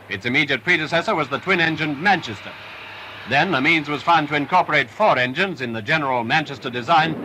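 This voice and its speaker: A man's voice: fairly high pitch, sharp tone. Man narrating a 1940s newsreel. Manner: upbeat, emphatic, patriotic delivery. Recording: noisy restored 1940s film soundtrack.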